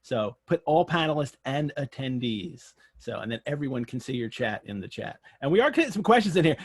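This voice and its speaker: A man's voice: fairly high pitch, breathy edge. A man hosting an online question and answer session in a casual, informal way, restarting his phrases. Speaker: host of an online Q&A. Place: remote video call.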